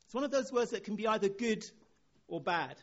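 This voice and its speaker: A man from Nigeria with a Nigerian accent, preaching a sermon in a relaxed way, chuckling now and then. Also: high voice, fairly quick, muffled recording.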